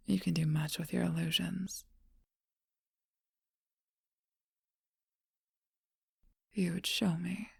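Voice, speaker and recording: A woman voicing an ancient, lonely, seductive female vampire in a whispered ASMR role-play, speaking slowly and softly into a close mic. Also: lowish voice, creaky, husky, no echo, exaggerated voice. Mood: tender whisper. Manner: soft intimate whisper, slow and measured.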